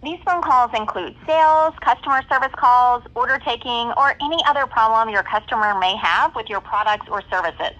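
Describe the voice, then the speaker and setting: A woman's voice: a little high, fairly quick, hardly any pauses, pushed and bright, muffled recording. A woman reading a scripted sales message, heard as a voicemail played from a phone speaker. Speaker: telemarketing and call centre agent. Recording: voicemail replayed in room, faint noise.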